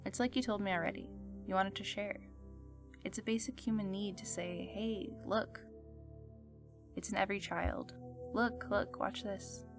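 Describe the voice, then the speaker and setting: A woman playing the musing host of an eerie fiction radio show, speaking in a measured, contemplative way. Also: mid-range voice, fairly even intonation, music in the background, lightly acted. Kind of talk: scripted reflective fiction narration.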